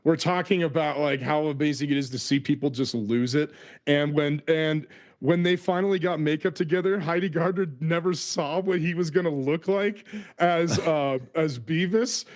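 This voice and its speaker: A man hosting a casual podcast, excitable and quick to laugh, often stumbling over his words. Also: fairly high pitch. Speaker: podcast host. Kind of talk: casual sports podcast chat.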